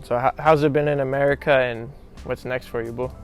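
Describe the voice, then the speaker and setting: A man's voice: medium pitch, fairly even intonation, a little fast. A young man in his twenties hosting a casual interview, introducing his guest and asking questions. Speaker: interviewer and host. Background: background music.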